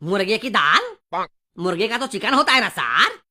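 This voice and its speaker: A man's voice: sits high, huge pitch swings, moderate pace, sharp tone, a little hiss or hum, heavily acted. A man voicing a cheeky, smart-mouthed schoolboy who talks glibly in a comedy cartoon. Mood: smug, matter-of-fact. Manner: cheeky, glib. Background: music.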